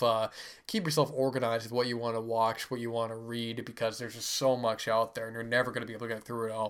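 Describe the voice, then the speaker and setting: Man with a stuffy head cold, podcast host, monotone, rambling with lots of uhs. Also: mid-range voice. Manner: rambling, many fillers and restarts. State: sick and stuffy. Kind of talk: casual solo book review.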